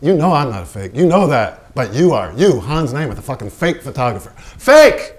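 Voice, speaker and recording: A man's voice: medium pitch, big pitch swings, normal speed, close to an everyday voice. Actor as volatile, bitter man of the stage. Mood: contemptuous, heated. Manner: dramatic, swinging from muttering to barked shouts. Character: volatile, bitter, boastful male painter and his bossy filmmaker. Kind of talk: theatrical staged reading.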